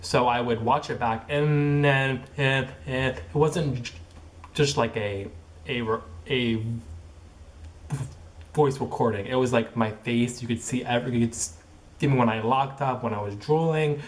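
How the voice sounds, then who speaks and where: A young man who stutters, talking casually in a personal vlog, with repeated sounds, blocks and many fillers like "like" and "um". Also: mid-range voice. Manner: filler-heavy, halting phrasing with many likes and ums. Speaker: vlogger.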